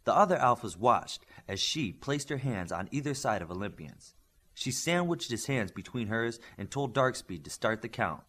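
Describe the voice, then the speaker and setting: A man narrating scripted fiction as an audiobook reader, with expressive delivery that lifts into dialogue. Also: mid-range voice, normal speed.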